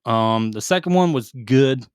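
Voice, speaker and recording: A man co-hosting a casual gaming podcast, reading headlines aloud with quick asides and stumbling over foreign names. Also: mid-range voice, expressive, smooth, dry recording, clean studio sound. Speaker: podcast co-host reading news.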